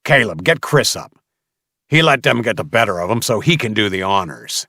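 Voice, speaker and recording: A brutal slaver boss acted by a male audiobook reader barks orders in a low male voice. He is short-tempered and commanding, every phrase loaded with menace, in a very dry, close sound. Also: big pitch swings, normal speed, sharp tone, no echo, exaggerated voice. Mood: impatient anger. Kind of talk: audiobook character acting.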